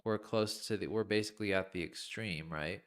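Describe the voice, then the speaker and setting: A man's voice: lowish voice, moderate pace. A man streaming live chart analysis, thinking aloud informally in fragments, with a voice that is starting to give out. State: losing his voice, clearing his throat. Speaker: crypto trading streamer.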